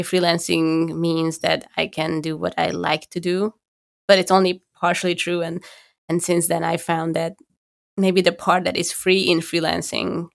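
A woman with a Hungarian accent, co-hosting a podcast from home, talking things through thoughtfully and pausing to find her words. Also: lowish voice, vocal fry. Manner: thoughtful, searching for words with restarts. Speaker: podcast co-host. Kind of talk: casual conversation.

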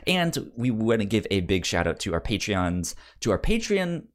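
A man's voice: fairly low pitch, big pitch swings, moderate pace. A man hosting a podcast in casual chat, upbeat and enthusiastic, sometimes stammering over his words. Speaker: podcast host.

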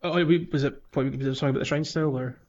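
A man's voice: medium pitch, fairly even intonation, fast, darkish tone, muffled recording. A man co-hosting a podcast, chatting casually about a video game, rambling with lots of fillers and little laughs. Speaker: podcast co-host.

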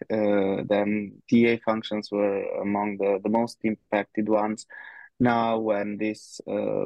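A man speaks in a fairly low voice that has a gravelly edge. He pauses often and fills gaps as he thinks his answers through. The sound is dry, with no room around it. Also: a little mellow, no echo, highs cut off. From Romania. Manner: hesitant, many fillers, thinks aloud. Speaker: guest, head of people. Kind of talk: conversational interview answers.

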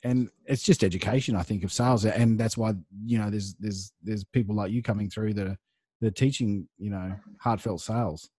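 A man's voice: fairly low pitch, steady tone, brisk, mellow, slightly rough, no echo. A man hosting a podcast, easygoing and affirming, telling stories in casual chat on a close studio mic. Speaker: podcast host. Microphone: studio microphone close up.

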